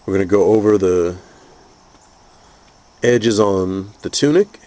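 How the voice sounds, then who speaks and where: A man with a stuffy, congested voice from a cold, calmly explaining a painting technique step by step with frequent restarts. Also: lowish voice, takes it slowly. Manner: calm, methodical, with frequent restarts. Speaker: custom figure painter teaching. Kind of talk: painting tutorial narration.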